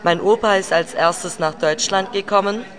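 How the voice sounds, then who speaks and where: A woman's voice: medium pitch, normal speed. Chatty woman in a crowd. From Germany. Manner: thinks aloud, chatty, restarts mid-sentence. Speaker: interview guest. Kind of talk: casual chat. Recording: voice among a crowd.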